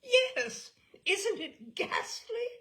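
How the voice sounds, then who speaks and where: A woman's voice: very high voice, very animated, very slow, pushed and bright, voice pushed up, exaggerated voice. A woman voicing a naive, dramatic eighteen-year-old society girl in a memoir reading, with earnest exclamations. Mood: appalled, excited.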